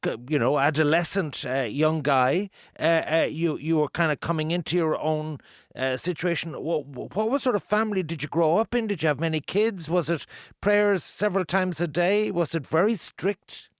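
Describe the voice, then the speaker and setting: A man's voice: fairly high pitch, normal speed, no echo, clean studio sound. A man with an Irish accent hosting a conversational radio interview, probing in a chatty way with questions piled up.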